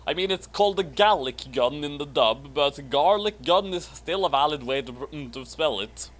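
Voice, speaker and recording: A man's voice: fairly high pitch, animated, normal speed, a bit bright. A man reading fan fiction aloud and commenting on it in a casual, comedic way.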